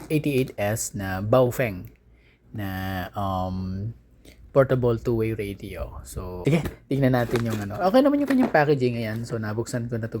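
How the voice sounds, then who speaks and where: A man's voice: lowish voice, background noise. A man with a Filipino accent giving a casual unboxing and review, informally explaining things step by step to his viewers. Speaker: vlogger, product reviewer.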